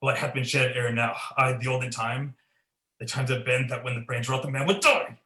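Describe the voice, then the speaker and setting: A man's voice: medium pitch, fairly quick, pushed and bright, lightly acted. A young man playing a haunted, guilt-stricken king in a Shakespearean radio play, delivering verse dramatically. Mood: terrified, defiant. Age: young adult. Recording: roomy, with faint noise.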